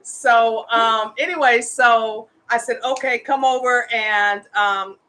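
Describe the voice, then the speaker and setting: A woman's voice: fairly high pitch, steady tone, slow pace, bright, forceful tone, low-quality recording. A woman in her fifties hosting a casual live show, speaking with earnest, impassioned conviction as an advocate. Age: 50s. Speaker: host, homeless women's advocate. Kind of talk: casual livestream talk.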